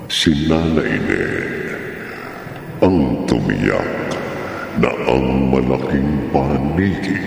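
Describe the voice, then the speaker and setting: Horror narrator, male: ominous and very slow, croaking fry, drenched in long reverb. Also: extremely low pitch. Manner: ominous, drawn-out, dramatic delivery. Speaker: narrator and horror show host. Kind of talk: theatrical horror radio drama narration. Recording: cavernous echo and long reverb. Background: dramatic music.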